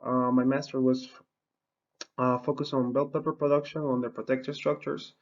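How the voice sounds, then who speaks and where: A man's voice: mid-range voice, little pitch movement, moderate pace, muffled recording. A middle-aged man from the Republic, giving an extension webinar talk and filling his sentences with uh and um and restarts. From Dominican Republic. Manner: lots of uh and um, frequent restarts. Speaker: university horticulture researcher.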